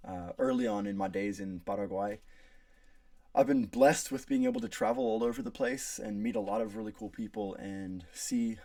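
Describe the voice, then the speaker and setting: A man's voice: lowish voice, creaky, mellow, no room sound, studio quality, far from the mic. A young man from South Africa with an American accent, giving an earnest prepared class speech about his travels, with frequent fillers and restarts. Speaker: student.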